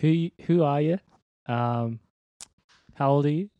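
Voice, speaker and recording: A man's voice: on the high side, unhurried, no echo, muffled recording. A man, a church leader chatting in an upbeat, slangy way on a podcast. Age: 20s. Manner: upbeat, slangy, laughs between phrases. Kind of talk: casual podcast chat. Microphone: podcast microphone.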